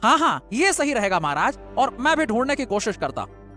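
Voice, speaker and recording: An actor playing a wily, flattering male villager who feigns humble concern in a melodramatic story, theatrical and obsequious. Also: medium pitch, fairly quick, faint music behind. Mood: fawning. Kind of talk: melodramatic storybook audio drama.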